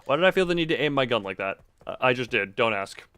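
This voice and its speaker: Rambling male game streamer, very animated pitch. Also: fast talker, smooth. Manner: rambling, self-interrupting, thinking aloud; playful, self-deprecating wordplay. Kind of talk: casual live gameplay commentary.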